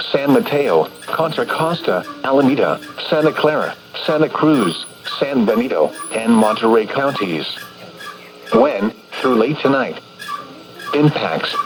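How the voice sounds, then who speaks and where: An automated-sounding man with an American accent reads scripted emergency weather bulletins in a formal, impersonal, stiffly segmented way over radio. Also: mid-range voice. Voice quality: synthetic text-to-speech sound. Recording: noisy weather radio broadcast reception.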